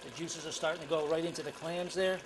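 Middle-aged male cooking host, keen and chatty, slightly high voice, noisy kitchen sound. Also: fairly even intonation, fast. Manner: enthusiastic, talks while cooking, many fillers. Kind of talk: home cooking demonstration. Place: home kitchen.

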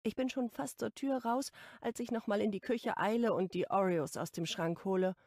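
Woman reading a romance audiobook, first person, lots of creak, really dry recording. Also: medium pitch, moderate pace, a little mellow, no room sound. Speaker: audiobook narrator. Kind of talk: first-person scripted narration of a romance novel.

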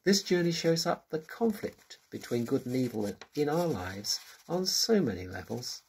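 An older man reading his prepared book review in a measured, earnest way, recorded on a close-up webcam in a small room at home. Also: medium pitch, big pitch swings, gravelly, a little mellow, a little hiss or hum, low-quality recording.

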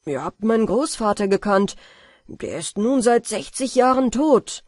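A woman's voice: on the high side, lively intonation, a little mellow, no echo, studio quality. A woman doing a wary, deferential fisherman. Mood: astonished. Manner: deferential, astonished, slow and careful. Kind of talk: storybook character voice.

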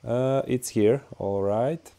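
A man with a Bosnian accent, whose native tongue is Bosnian, walks through a software tutorial in English, pausing often with "uh" and "all right". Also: medium pitch, gravelly. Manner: halting, many "uh" and "all right" fillers. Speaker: tutorial host. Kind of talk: screen-recorded software tutorial.

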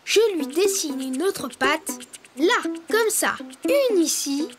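A performer voicing a brave, cheerful little boy rabbit in a preschool cartoon, explaining things clearly and encouragingly. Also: high voice, expressive, slow pace. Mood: instructive. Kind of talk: preschool cartoon voice acting, instructing. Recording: music behind the voice.

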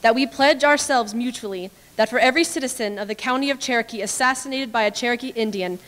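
Young woman, higher-pitched voice, formal measured reading, podium mic, long hall reverb. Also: a bit bright. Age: young adult. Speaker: dramatic reader. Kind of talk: formal read-aloud of historical documents. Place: large brick-walled hall with stage, indoors. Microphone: podium microphone.

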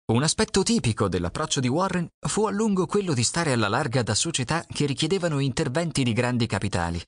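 A man reads a scripted audiobook presentation at a measured, steady pace. His pitch moves a lot from phrase to phrase. The recording is close and dry, with no room sound. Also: no echo. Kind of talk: scripted narration.

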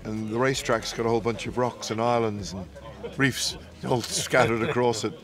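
A man's voice: mid-range voice, steady tone, moderate pace, a bit bright. A man, a yacht sailor describing a race in an easygoing, rambling way, outdoors at night. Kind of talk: post-race interview.

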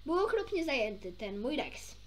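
A young woman with a high voice reads a children's story aloud. Her intonation moves a lot and her delivery is informal. She stumbles a little and slips into chatty asides. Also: sing-song, moderate pace. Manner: informal, stumbles over words and self-corrects. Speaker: amateur storybook reader.

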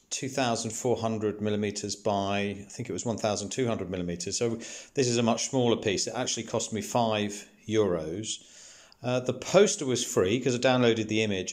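A man's voice: on the low side, darkish tone. Man explaining a DIY project. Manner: practical, explains step by step with fillers. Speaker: DIY renovation vlogger. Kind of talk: casual how-to narration. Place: house under renovation.

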